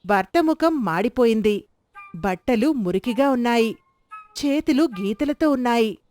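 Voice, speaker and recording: A woman's voice: on the high side, lively intonation, measured pace, a little mellow, faint music behind. Woman narrating a storybook tale.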